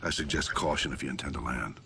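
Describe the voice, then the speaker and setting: An actor playing a grim, determined space station captain in a video game, speaking in a terse, commanding manner. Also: male voice, extremely low pitch, fast, gravelly, a little hiss or hum, heavily acted. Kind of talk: video game cutscene acting. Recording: muddy game mix under loud music.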